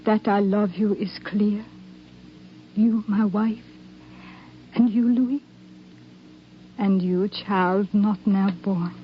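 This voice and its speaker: A woman's voice: mid-range voice, steady tone, slow, long pauses, close to an everyday voice. An actress playing a refined, wistful young soldier's wife and mother, composed and formal in 1950s radio drama style. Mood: tender, solemn. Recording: dull, noisy 1950s radio recording.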